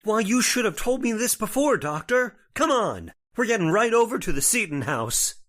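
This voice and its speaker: Man playing a harried, animated sheriff. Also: high voice, moderate pace. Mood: urgent. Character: harried, blustering small-town sheriff. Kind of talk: old-time radio drama acting.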